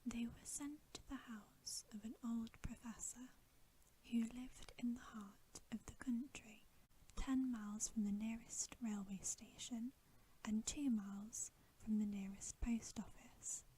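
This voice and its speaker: A woman reading a bedtime story in ASMR style, in a hushed, gentle, unhurried whisper. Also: fairly high pitch, fairly even intonation, a little hiss or hum, low-quality recording. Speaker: ASMR reader.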